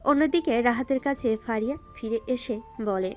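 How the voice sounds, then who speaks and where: A woman's voice: on the high side, expressive, fairly quick, a little mellow, lo-fi sound, faint music behind. A woman narrating a scripted romantic story in a dramatic style.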